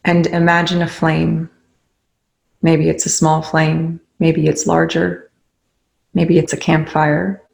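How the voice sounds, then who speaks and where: A meditation leader guiding listeners in a soothing, unhurried way, with pauses between short instructions. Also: medium pitch, completely flat delivery, creaky. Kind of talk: guided meditation.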